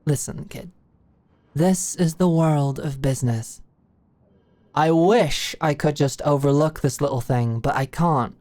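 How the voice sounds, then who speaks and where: A woman's voice: deep voice, unhurried, dark tone, no room sound. A woman playing a blunt, shrewd older British executive in an audio roleplay, talking in a brash, slangy, commanding way. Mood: frank, confiding. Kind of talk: scripted audio roleplay monologue.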